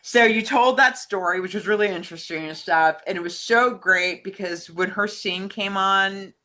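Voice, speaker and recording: An American woman with a bright, crisp-edged voice at an ordinary pitch. She co-hosts informally, reading questions aloud and chatting with enthusiasm. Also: moderate pace. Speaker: co-host reading fan questions. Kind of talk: casual chat, reading out questions.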